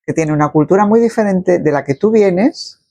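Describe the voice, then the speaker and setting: Man, interview host, slightly high voice, reflective, elaborate questions, conversational. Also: normal speed, dark tone.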